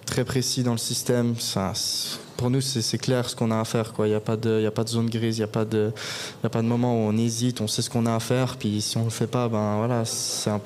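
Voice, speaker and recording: A young man in his twenties, a guest hockey player, giving even, unhurried interview answers with frequent pauses at a live podcast event in a bar. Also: mid-range voice, a little hiss or hum.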